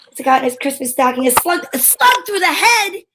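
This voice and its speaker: A woman's voice: high voice, big pitch swings, brisk, background noise. A woman playing a chatty, wisecracking gal in old-time radio comedy, with a put-on accent. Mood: wisecracking, breezy. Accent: put-on character accent. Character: Candy, chatty wisecracking woman, friend of a photographer.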